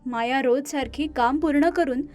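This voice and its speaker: A woman narrator reading a scripted moral tale for an animated story. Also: high-pitched, moderate pace.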